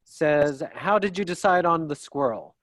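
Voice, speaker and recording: Low-voiced woman in a dry sound. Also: lively intonation, unhurried, dry recording. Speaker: reader of audience questions. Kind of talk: informal Q&A relay.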